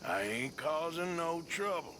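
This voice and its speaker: Ailing outlaw, American Western drawl, blunt, resigned, gruff edge, lively intonation, faint ambience behind, video game drama. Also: male voice, on the high side, unhurried, a little hiss or hum. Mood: defiant. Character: weary, ailing, blunt male outlaw in the Old West. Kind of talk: naturalistic game cutscene acting.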